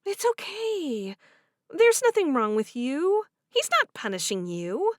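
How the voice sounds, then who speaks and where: A woman voicing a kind young woman who gently reassures a friend. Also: sits high, wildly swooping pitch, slow, no room sound, studio quality, pitched above the performer's own voice, heavily acted. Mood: reassuring.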